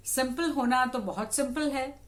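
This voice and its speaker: A woman's voice: high voice, measured pace, a little hiss or hum. A woman talking to viewers in an explanatory, conversational vlog style, restating points for emphasis. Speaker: radio jockey and vlogger.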